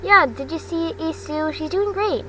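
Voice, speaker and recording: A woman's voice: extremely high pitch, a little slow, a bit bright, voice pushed up. A woman voicing an eager, friendly teenage boy hero student in an earnest, encouraging way. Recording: distant home mic, faint noise.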